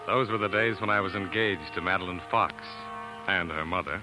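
A man's voice: deep voice, brisk, over music, close to an everyday voice, poor audio. An actor playing an earnest young male science student and narrator in a 1940s radio drama, sometimes hesitant. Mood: nostalgic narration. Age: young adult. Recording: vintage radio with musical underscore.

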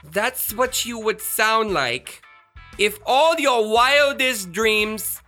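A woman's voice: on the high side, expressive, very slow, sharp tone, faint music behind, heavily acted. A woman voicing a flamboyant, bossy, self-adoring radio star in a children's comedy audio drama, with a theatrical manner. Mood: exasperated. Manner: theatrical, exasperated, showy.

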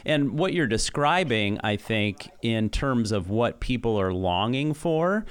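A man co-hosting a conversation, responding in a measured, affirming way. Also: medium pitch, animated, smooth. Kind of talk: conversational talk.

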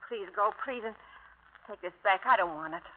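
A woman's voice: mid-range voice, moderate pace, natural voice. An actress playing an anxious, upright widowed mother in a 1950s radio drama, nervous and earnest. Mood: pleading. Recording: thin, tinny old radio broadcast.

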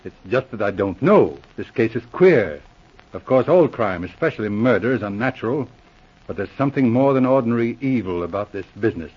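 An actor playing a patient, methodical Mountie sergeant who teases dryly, in 1940s radio acting. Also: male voice, medium pitch, animated, fairly quick, breathy edge. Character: methodical, dry-witted male Mountie sergeant. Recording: dull lo-fi old radio broadcast.

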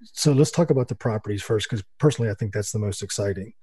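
An American man co-hosting a radio show on a boom mic, explaining things quickly and restarting often. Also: a little mellow, husky. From United States. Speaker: podcast co-host. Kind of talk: casual radio show chat.

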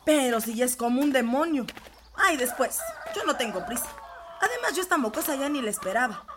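A woman plays a Mexican village mother in a radio drama, her voice high and bossy. She is impatient and harsh, with clear farmyard noise and hens around her. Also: sing-song, fairly quick. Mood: scornful. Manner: bossy, exasperated.

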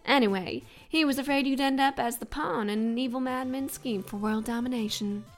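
A woman voicing a capable female Sasquatch secret agent who talks in a quick, matter-of-fact way. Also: sits high, faint music behind, pitched above the performer's own voice. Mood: brisk.

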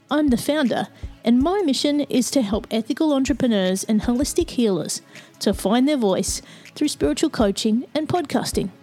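A woman hosting a podcast, reading a measured introduction and then chatting with her guest. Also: fairly high pitch. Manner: warm, measured intro; loose chat with fillers. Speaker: podcast host. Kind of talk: scripted intro, then interview chat.

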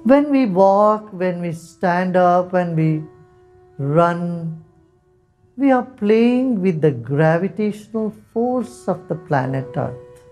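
A man leading a guided meditation, giving soft, calm instructions with long pauses. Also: high voice. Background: soft instrumental music.

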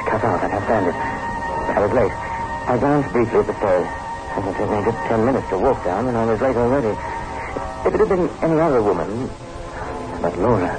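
A man playing an anxious, claustrophobic middle-aged office worker in a 1960s radio drama, nervous and halting, on a vintage recording. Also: lowish voice, close to an everyday voice, rough recording. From South Africa. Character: anxious, claustrophobic fifty-two-year-old office man. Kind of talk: 1960s radio drama acting, first-person narration.

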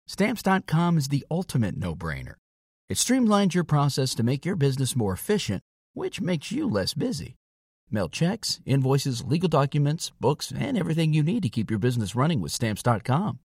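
A man's voice: mid-range voice, big pitch swings, a little fast, darkish tone, husky, no echo, studio quality. A man reading a scripted podcast advertisement as an announcer.

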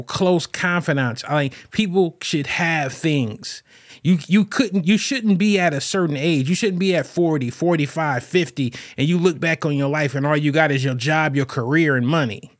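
A man's voice: a little high, no room sound, studio quality. A man hosting a show alone and talking casually about relationships, rambling with lots of fillers and restarts. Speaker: podcast host. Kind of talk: casual solo talk on relationships.

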